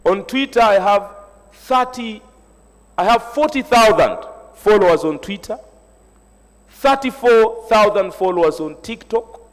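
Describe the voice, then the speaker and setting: A man's voice: high voice, animated, measured pace. A man from Tanzania, a Swahili speaker, giving an earnest, reflective panel speech at a university symposium. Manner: motivational, reflective, personal storytelling. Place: large university hall. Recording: echoey hall reverb.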